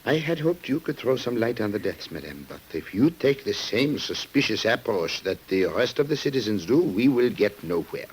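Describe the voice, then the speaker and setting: An actor playing a shrewd, suspicious French police commissioner in an old radio drama, formal, courteous and probing, with an air of authority. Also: male voice, fairly low pitch, very animated, normal speed, few pauses. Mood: probing, firm. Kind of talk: 1950s radio drama acting. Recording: hissy old radio recording.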